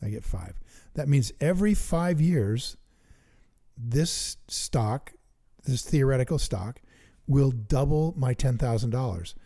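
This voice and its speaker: Dark-voiced man explaining investing. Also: mid-range voice. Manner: enthusiastic, thinks out loud, works sums aloud. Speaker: investing podcast co-host. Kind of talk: casual teaching chat.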